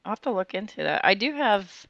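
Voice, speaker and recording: A bright, mid-pitched voice chimes in on a casual group chat. The speaker is informal and curious, often putting questions to the others. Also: big pitch swings, fairly quick, gravelly, no room sound, dull audio. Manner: inquisitive, informal.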